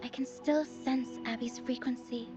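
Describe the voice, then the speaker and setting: A woman's voice: a little high, fairly even intonation, moderate pace, lightly acted. A woman voicing an earnest, playful young troupe performer who acts as a guide in a video game. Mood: earnest, explaining. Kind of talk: scripted video game voice acting. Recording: dull game capture under music. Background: clear music.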